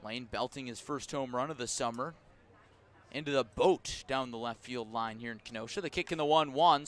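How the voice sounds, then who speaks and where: A man calling live baseball play-by-play as a radio announcer, measured between pitches and lifting with the action. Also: fairly bright tone. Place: outdoor ballpark broadcast.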